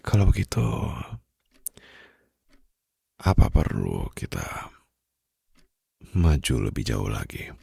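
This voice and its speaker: A man playing a smitten, suave mafia boss in an intimate ASMR romantic roleplay, teasing softly and possessively close to the microphone in a low, gravelly, breathy voice. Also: sing-song, no room sound, full character voice. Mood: tender, seductive. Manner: slow, soft, flirtatious teasing with formal wording. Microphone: close studio microphone.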